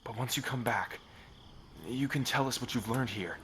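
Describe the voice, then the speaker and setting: A man acts out a scrappy, protective friend who has come as a rescuer. His mid-pitched voice is earnest and conversational, as in an audio roleplay. Soft night ambience with crickets runs faintly underneath. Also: normal speed, noisy background, close to an everyday voice. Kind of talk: ASMR roleplay, acted one-sided dialogue.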